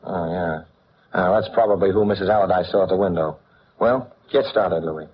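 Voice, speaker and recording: An actor playing a suave, flippant gentleman detective, dry and unruffled, in 1940s radio drama style. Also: low voice, moderate pace, close to an everyday voice. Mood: weary, dry. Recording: muffled, noisy old radio recording.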